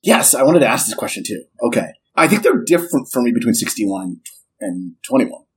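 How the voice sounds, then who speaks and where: A man's voice: medium pitch, big pitch swings, a little fast, slightly rough. A man co-hosting a film podcast, chatting casually and joking, with plenty of "like". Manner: joking, heavy use of "like". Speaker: podcast co-host.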